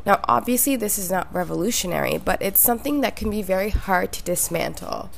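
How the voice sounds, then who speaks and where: A woman's voice: medium pitch, expressive, a little fast, gravelly. A young woman hosting a solo podcast, sounding nasal from a cold and talking in a reflective, conversational way. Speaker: podcast host.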